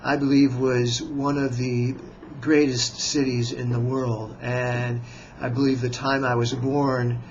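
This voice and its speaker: An American man in his fifties, a writer introducing himself on his video channel in a slow, measured way, with frequent hesitant pauses. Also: mid-range voice, flat delivery, lo-fi sound, slight background noise. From United States. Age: 50s. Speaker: writer and vlogger. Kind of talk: self-introduction to camera.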